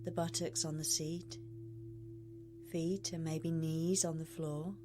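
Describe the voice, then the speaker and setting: A woman leading a guided meditation as a coach, speaking in a measured, soothing way with long pauses. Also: low voice, level tone, music in the background.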